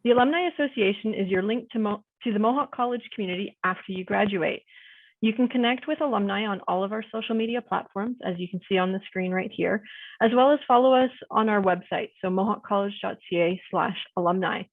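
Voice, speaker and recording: A woman delivers polite, measured welcome remarks from a prepared script over a video call. Her voice comes through a narrow, tinny phone-like line that sounds very dry and close. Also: medium pitch, no room sound. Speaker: alumni career coordinator.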